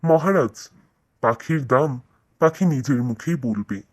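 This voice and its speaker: A man's voice: mid-range voice, takes it slowly. An actor voicing men of a cartoon fairy tale, a hunter and a king, in a theatrical, declamatory manner. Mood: humble. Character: male fairy-tale characters, a humble hunter and an imperious king.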